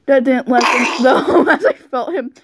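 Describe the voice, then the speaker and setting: A woman's voice: high voice, a little slow, husky, dry recording. A giggly woman goofing around as she reads fan fiction aloud, often stumbling over the text.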